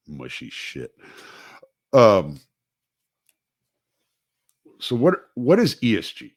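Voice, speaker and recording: Male host, slow unhurried talker, fills his pauses and restarts his sentences a lot. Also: low-pitched, no room sound, clean studio sound. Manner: rambling, halting, many fillers and restarts. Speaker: podcast host. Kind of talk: casual livestream monologue.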